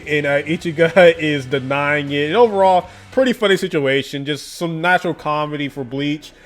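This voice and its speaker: A man talks through a manga chapter in a loose, chatty way. He fills his sentences with fillers and laughs often. His voice sits a bit high, with soft music playing behind him. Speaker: anime and manga YouTuber. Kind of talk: casual review commentary.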